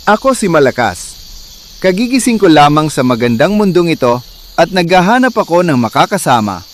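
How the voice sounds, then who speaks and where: Folktale hero played by a man: the first man, strong, awed and earnest, higher-pitched and animated. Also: normal speed, a little hiss or hum. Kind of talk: Tagalog folktale character acting.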